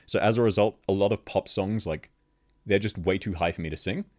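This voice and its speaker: A man with a very deep bass voice, chatting casually with viewers on a live stream and laughing often. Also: brisk, a little mellow. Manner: laughs constantly, chatty, answers chat questions. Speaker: VTuber streamer. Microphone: streaming microphone, level shifts as he moves.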